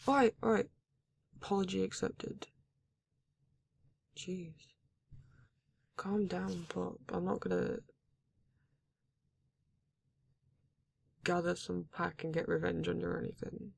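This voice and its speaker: A performer voicing a grumpy, reluctant werewolf in an ASMR roleplay, exasperated and halting, with a husky, gravelly voice. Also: mid-range voice, flat delivery, no echo. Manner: exasperated, sighing, swearing, halting delivery. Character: grumpy, reluctant werewolf, guarded but not cruel. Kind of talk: ASMR roleplay audio drama, intimate monologue.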